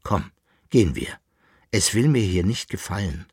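A man's voice: low-pitched, big pitch swings, moderate pace, husky, dry recording, clean studio sound, full character voice. A man voicing an irritable, anxious jester who scolds his companion in an audiobook. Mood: fearful, urgent. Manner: indignant, nervous scolding. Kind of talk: audiobook character voice.

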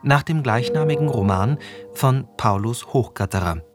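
A man's voice: medium pitch, lively intonation, normal speed. A man announcing a radio drama, reading titles and credits from a script. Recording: music behind the voice.

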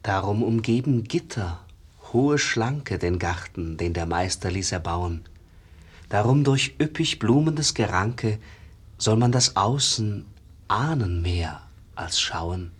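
An actor playing a solemn, rapt pupil of a master painter in a 1950s radio verse drama. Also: male voice, lowish voice, lively intonation, slow pace, breathy edge, exaggerated voice.

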